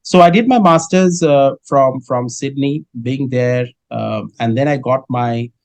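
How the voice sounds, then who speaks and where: Measured Indian man, dry close sound. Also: mid-range voice, no room sound. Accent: Indian English. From India (Kolkata). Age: middle-aged. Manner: measured, many "uh" fillers and restarts. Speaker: guest, dairy business head. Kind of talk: interview answers.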